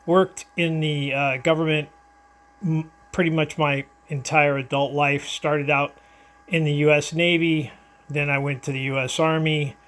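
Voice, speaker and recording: A man's voice: on the high side, little pitch movement, a little slow, fairly bright tone. An older American man chatting casually over ham radio in an easygoing, rambling, hesitant way with callsigns and handovers. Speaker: amateur radio operator. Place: indoors at his radio, filming it.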